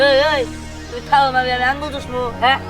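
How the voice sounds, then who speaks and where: A woman playing a quarrelsome, excitable villager in a fairy-tale drama. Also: high voice, fast talker, close to an everyday voice. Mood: angry, accusing. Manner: scolding, excitable. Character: quarrelsome, accusing villager, easily frightened. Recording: music behind the voice.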